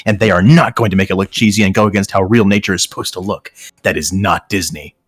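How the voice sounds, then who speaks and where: A man's voice: low-pitched, steady tone, fast, no room sound, studio quality. A man in casual comedic banter, reading aloud in a mock-earnest way and often laughing. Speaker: online comedy show host reading fanfiction aloud.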